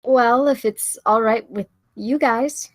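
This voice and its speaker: A woman reads a curious, wry married woman in a film script. She talks slowly in a slightly high voice with a dry, close sound. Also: dry recording. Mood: curious, hesitant. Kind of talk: screenplay table read.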